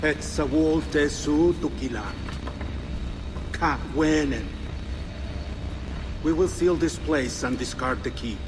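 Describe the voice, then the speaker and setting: A wise, solemn order leader speaks calmly and formally, each phrase deliberate. The game voice rings in a long reverb with music clearly behind. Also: male voice, on the high side. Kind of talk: video game cutscene acting.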